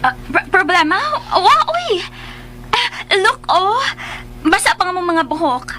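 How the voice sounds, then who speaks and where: An actress playing a sweet-talking, jealous wife in a radio drama, theatrical, with a Filipino accent and some English mixed in. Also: female voice, very high-pitched, big pitch swings, a little slow, pushed and bright, slight background noise, exaggerated voice. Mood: flustered, fake sweet.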